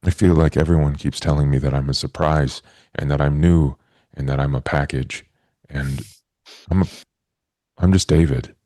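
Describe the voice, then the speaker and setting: A man plays a newly born man with a profoundly deep, soft-edged voice and a dry, close sound. He is childlike and literal, putting things in simple, halting, earnest phrases for a tabletop game. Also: steady tone, no room sound. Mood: puzzled, earnest. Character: naive newborn man, gentle, childlike, literal-minded and puzzled. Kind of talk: in-character tabletop roleplay.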